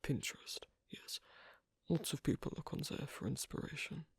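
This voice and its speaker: A performer voicing a guarded, shyly affectionate werewolf on a date in an ASMR roleplay, speaking in a husky, breathy whisper with a halting, hesitant delivery. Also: medium pitch, dry recording, clean studio sound. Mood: reserved, gently advising. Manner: halting, soft-spoken, hesitant. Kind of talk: ASMR roleplay, one-sided intimate conversation.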